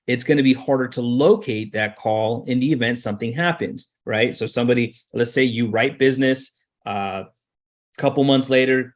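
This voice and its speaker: A man with a mid-pitched voice leads an informal software demonstration. He explains each step as he goes, in a conversational way, and restates key points so they stick. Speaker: software trainer giving a demonstration. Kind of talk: informal screen-share tutorial.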